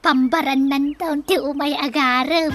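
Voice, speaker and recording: A woman's voice: high-pitched, fairly even intonation, measured pace, loud music behind the voice. A woman acting a brash, scheming mother who wheedles and teases in an Ilocano-accented Filipino comedy radio drama. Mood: coaxing, gleeful. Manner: wheedling, teasing. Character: brash scheming mother sweet-talking her creditors.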